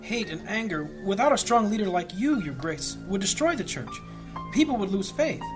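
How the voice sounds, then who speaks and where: A woman's voice: medium pitch, sing-song, normal speed, over music, close to an everyday voice, poor audio. A woman playing a humble, troubled small-town priest, earnest and dramatic, in a fantasy audio drama. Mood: worried.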